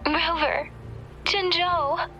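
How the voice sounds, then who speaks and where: An urgent young female messenger from an anime game. She is very high and forceful, stretching her words slowly over faint music. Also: steady tone, exaggerated voice, low-quality recording. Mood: urgent, distressed.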